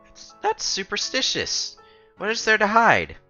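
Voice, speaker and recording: Cute coy game girl, acted by a male streamer, high and lively, music underneath. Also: measured pace, muffled recording, exaggerated voice. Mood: coy, flustered. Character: Mori, cute, teasing young woman of visual novel.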